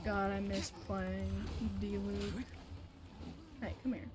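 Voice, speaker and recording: A woman live-streaming a video game, commenting casually and excitably with mild swearing. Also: mid-range voice, slow pace, background noise.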